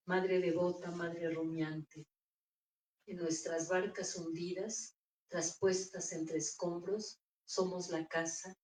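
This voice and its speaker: A woman reading her own poems aloud in a measured, deliberate recital at a bookstore. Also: fairly low pitch, mellow, far from the mic, a little hiss or hum. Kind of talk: poetry reading. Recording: echoey room, voice off-mic.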